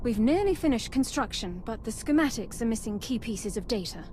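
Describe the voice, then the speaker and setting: Dutiful woman captain played by an actress, highish voice. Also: lively intonation, brisk, darkish tone, slight background noise, close to an everyday voice. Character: dutiful female army captain, engineer. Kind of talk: video game cutscene acting.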